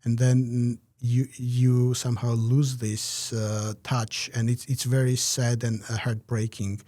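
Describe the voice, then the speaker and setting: A man giving measured, reflective interview answers, pausing often with "uh" between phrases. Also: mid-range voice, little pitch movement, dark tone, no echo, clean studio sound. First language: Georgian. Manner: measured, many "uh" pauses. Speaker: interview guest, researcher.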